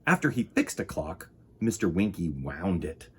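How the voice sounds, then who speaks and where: A man reading a children's picture book aloud in a theatrical, deliberate storytime style. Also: low-pitched, big pitch swings, breathy edge. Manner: dramatic, deliberate, with sound effects and exclamations.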